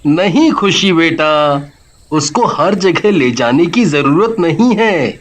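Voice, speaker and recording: A man's voice: fairly high pitch, lively intonation, slow pace. A man playing an easygoing, reassuring father in a horror story. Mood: dismissive. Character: easygoing husband and father, gently dismissive.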